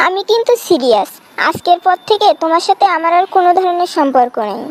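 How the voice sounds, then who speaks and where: A woman's voice: very high voice, animated, fast talker. A woman playing an indignant, stern young sweetheart who scolds her lover in a comic cartoon, with a Bangladeshi accent. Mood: cold, hurt. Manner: scolding, reproachful. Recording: noticeable background noise.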